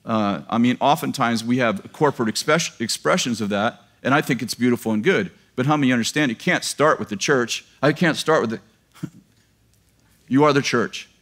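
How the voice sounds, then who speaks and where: A man's voice: mid-range voice, normal speed, a bit bright. Man, fifties, pastor, sermon, casual and joking, headset mic, big church hall. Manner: conversational and joking, laughing at his own lines. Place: large church auditorium with a live congregation.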